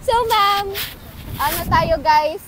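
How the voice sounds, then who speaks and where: A woman's voice: very high voice, slow pace. A woman with a Filipino accent, a walking barista, chatting playfully with customers and mixing Tagalog and English. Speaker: walking barista selling coffee. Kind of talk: playful on-the-spot interview. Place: outdoors on a windy beach. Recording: loud wind noise on the mic.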